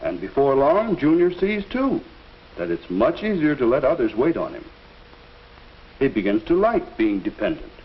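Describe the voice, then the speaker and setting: An actor playing a patient male teacher in a 1950s educational film, speaking in a measured, instructive way on an old soundtrack. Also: medium pitch, huge pitch swings, lo-fi sound. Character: patient adult male school teacher counseling students.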